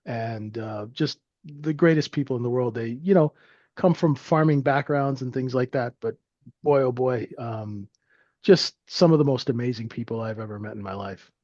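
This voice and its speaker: A man's voice: mid-range voice, normal speed, a little mellow, no room sound, lo-fi sound. A man in his fifties from Canada, telling his life story in a rambling, friendly way with lots of fillers. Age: 50s. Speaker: guest trader. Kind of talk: casual interview storytelling.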